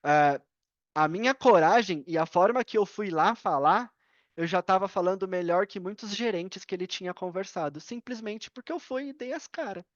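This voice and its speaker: A man's voice: sits high, normal speed. Brazilian guy chatting casually on a podcast call, slangy storytelling, a bit muffled, no room echo. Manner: informal, slangy, animated retelling with acted-out dialogue. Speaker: podcast guest. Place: indoors at a computer on a video call. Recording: slightly dull, dry online-call audio.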